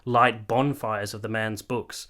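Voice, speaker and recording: A man's voice: on the low side, steady tone, unhurried, bright, forceful tone. A man playing a courteous, smitten army captain from the 1870s in a radio drama, speaking politely and formally. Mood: earnest. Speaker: actor and narrator in a radio play. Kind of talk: radio drama acting, partly first-person narration.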